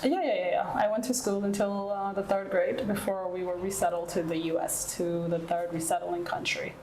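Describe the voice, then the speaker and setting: A woman's voice: lowish voice, fairly even intonation, normal speed, darkish tone, reverberant, slight background noise, poor audio. A woman from Ethiopia and South Sudan, whose first language is Nuer, answering interview questions frankly and reflectively, often checking in with "right?". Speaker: guest.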